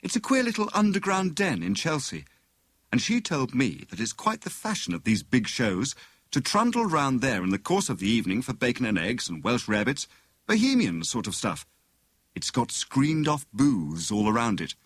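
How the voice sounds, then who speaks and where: A man voicing a witty, sharp-tongued young wife with a withering, coaxing and brisk manner in an audiobook. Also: mid-range voice, wildly swooping pitch, dry recording, pitched above the performer's own voice. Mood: keen, brisk.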